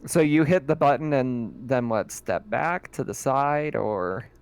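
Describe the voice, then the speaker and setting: A man narrating a tabletop roleplaying game as its game master, pausing often with fillers. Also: medium pitch, creaky, smooth. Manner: slow, halting narration with fillers and laughs.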